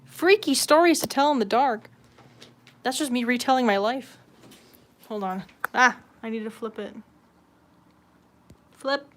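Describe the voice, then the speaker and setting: A woman's voice: fairly high pitch, a little slow, pauses often. A woman chatting casually as she hosts a livestream, informal and sweary, with a self-deprecating way of talking. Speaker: livestream host. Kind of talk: casual livestream chat while drawing. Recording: clear background noise and room ambience.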